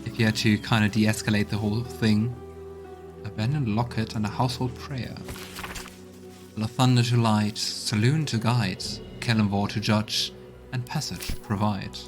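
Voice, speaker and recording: A man's voice: fairly low pitch, steady tone, normal speed. A man giving casual let's play commentary, musing and hesitant as he thinks aloud and reads out game text. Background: game music.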